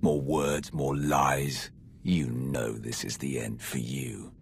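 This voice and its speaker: Very deep, bitter dark warrior. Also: male voice, little pitch movement, takes it slowly, slight background noise, exaggerated voice. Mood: threatening. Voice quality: deep, gravelly. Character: bitter, ruthless male dark warrior, threatening. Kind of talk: dramatic video game acting.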